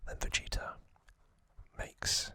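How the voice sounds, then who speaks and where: Slow, hushed whispering ASMR man. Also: no echo. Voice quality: breathy, unvoiced whisper. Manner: slow, hushed, deliberate, with long pauses between short phrases. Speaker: host of an ASMR trivia channel. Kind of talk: ASMR whispered storytelling, loosely scripted recap.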